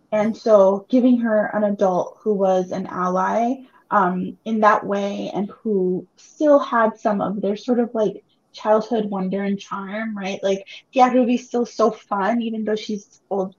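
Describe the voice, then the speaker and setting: A woman in her thirties whose first language is Spanish, an author answering students' questions in a reflective, warm way over a video call. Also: mid-range voice, dull audio. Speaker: author guest. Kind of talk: virtual book club Q&A. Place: home room, video call. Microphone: webcam or laptop mic on video call.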